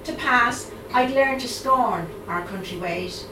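A woman's voice: a little high, noisy background. Dublin-accented woman poet, distant and roomy. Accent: Dublin Irish. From Ireland. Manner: measured recitation, hesitant um-filled chat between poems. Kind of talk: live poetry reading with chatty asides. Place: live reading event in a room. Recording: roomy, distant mic, audible room ambience.

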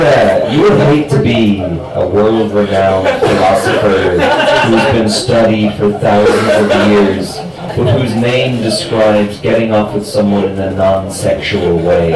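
A man's voice: lowish voice, lively intonation, unhurried. A man hosting a live event with casual stage banter between performances. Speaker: event host. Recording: echoey room, distant mic, loud crowd.

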